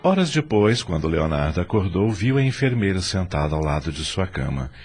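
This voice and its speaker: Male narrator, Brazilian accent, deep register, dramatic scripted read over light background music. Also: sing-song, a little fast, darkish tone. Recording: music under the voice.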